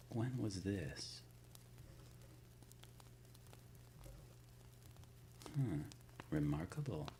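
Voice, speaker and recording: A man playing a courteous, shrewd, ancient supernatural lord in an ASMR roleplay, speaking softly and formally, often near a whisper. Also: on the low side, a little hiss or hum, heavily acted, rough recording. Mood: attentive, musing. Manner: formal, measured, erudite wording with deliberate pauses. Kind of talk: ASMR roleplay, intimate scripted monologue.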